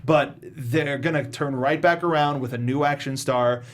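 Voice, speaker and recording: A man's voice: medium pitch, moderate pace. A man hosting a casual film review discussion, speaking informally and emphatically with the odd mild swear. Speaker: show host.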